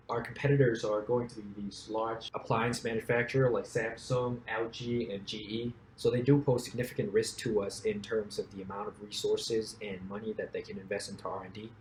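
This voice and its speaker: A young man from Thailand, a startup co-founder, explaining his product in a steady business pitch. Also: brisk. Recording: roomy echo, faint background noise.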